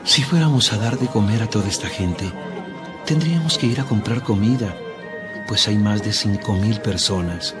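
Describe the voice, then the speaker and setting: A man's voice: on the low side, moderate pace, natural voice. A man playing a doubtful, practical disciple in a dramatized audio Bible, explaining himself. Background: music.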